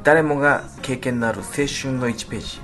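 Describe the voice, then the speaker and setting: A man talking on a radio show, explaining things politely with frequent drawn-out hesitations. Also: mid-range voice, a bit bright. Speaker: band leader, guest talker on radio show. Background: background music.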